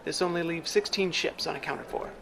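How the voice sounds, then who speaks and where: Sci-fi drama aide, male actor: analytical, formal man, slightly high and gravelly, exact diction. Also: fairly even intonation, a crowd in the background, low-quality recording. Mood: calm, analytical. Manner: measured, formal, exact wording. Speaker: adviser to the clan leader. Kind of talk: science fiction audio drama.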